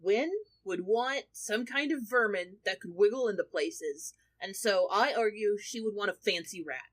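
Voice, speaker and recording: A woman's voice: mid-range voice, normal speed. A woman chatting casually as a panelist on a tabletop gaming podcast. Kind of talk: casual chat.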